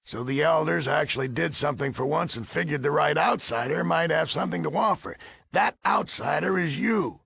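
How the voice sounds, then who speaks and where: A weary, gruff old American leader of a military order in a video game. His voice is very gravelly and husky, pitched a little high for a man, with a hard forceful edge. He talks in a blunt, folksy grumble, recorded close and dry. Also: normal speed, no room sound, full character voice. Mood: weary, grumbling. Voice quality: gravelly, raspy. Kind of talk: video game character dialogue.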